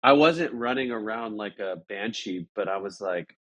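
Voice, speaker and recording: A man's voice: lowish voice, animated, normal speed, fairly bright tone. A man telling an anecdote as an interview guest, casual and agreeable, often stopping to correct himself.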